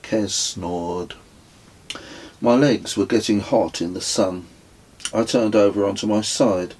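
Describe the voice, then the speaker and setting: A man narrates his own book in a fairly low voice with a rough, husky grain. He takes his time, very slow and measured, describing each scene as a literary storyteller. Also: steady tone, darkish tone. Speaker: author reading own book.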